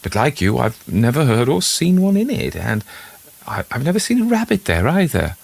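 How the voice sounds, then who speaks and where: A man voicing an uneasy country gentleman in a ghost story, formal and a little hesitant as he puzzles things out. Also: medium pitch, wildly swooping pitch, moderate pace, vocal fry, slightly rough, voice pushed up, exaggerated voice. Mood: puzzled.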